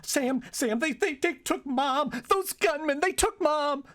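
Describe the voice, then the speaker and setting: A woman's voice: high voice, unhurried, fairly bright tone. A woman playing a frantic, fragile secretary in a melodramatic old-time radio drama, stammering breathlessly and pleading. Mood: panicked.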